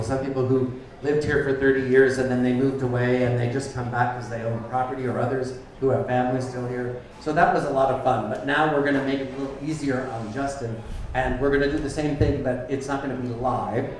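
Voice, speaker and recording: A man's voice: medium pitch, a little mellow. A man hosting a live community event in the back room of a bar, giving loose, chatty opening remarks with many ums. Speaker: event host. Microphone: handheld microphone from a distance. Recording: echoey room, far from the mic, faint noise.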